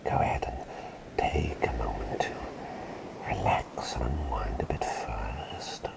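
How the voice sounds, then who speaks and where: Man playing a very deep whispering plague doctor. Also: slow, exaggerated voice. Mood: kindly attentive. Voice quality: breathy whisper. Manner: soothing, hesitant, politely formal. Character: gentle, attentive male plague doctor pampering a patient. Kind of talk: ASMR roleplay.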